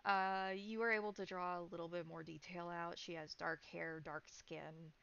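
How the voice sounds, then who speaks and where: Woman game master telling a horror scene, lowish gravelly voice, bit of a dull lo-fi sound. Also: little pitch movement, normal speed, a bit bright. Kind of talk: improvised narration for a tabletop horror game.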